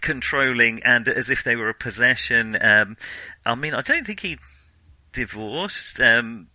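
A man's voice: medium pitch, animated, measured pace, pushed and bright, no room sound, poor audio. A man co-hosting a casual film discussion, analytical and feeling his way through his points. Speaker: podcast co-host.

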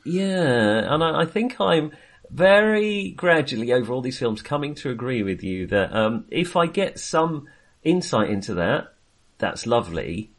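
A man co-hosting a film podcast, talking casually in a halting, rambling, self-deprecating way. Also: medium pitch, sing-song.

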